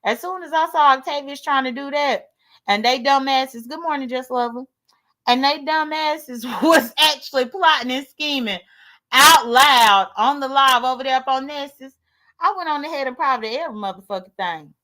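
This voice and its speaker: Higher-pitched American woman, blunt and sassy. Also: normal speed, sharp tone. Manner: blunt, sassy, swears freely. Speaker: livestream host. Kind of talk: casual livestream chat, airing grievances.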